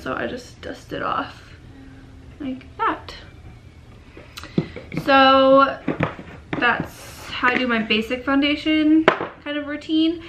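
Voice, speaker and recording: A young woman in her twenties with a cold, chatting casually through a makeup tutorial in a dim bedroom, often trailing off into quiet murmurs. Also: fairly high pitch, a little hiss or hum. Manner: chatty, filler-heavy, drifts into quiet murmurs while working. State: sick and sniffly. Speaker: beauty vlogger.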